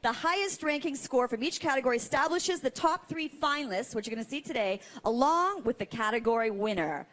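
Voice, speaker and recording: A woman's voice: high-pitched, animated, a little fast, few pauses, a bit bright. A woman co-hosting a live awards ceremony, moving between scripted announcements and off-the-cuff remarks. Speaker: awards gala co-host.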